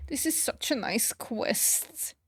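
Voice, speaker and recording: A woman's voice: fairly high pitch, lively intonation, slow, heavy background noise. A woman streaming a game, reacting casually and expressively and chatting informally to her viewers.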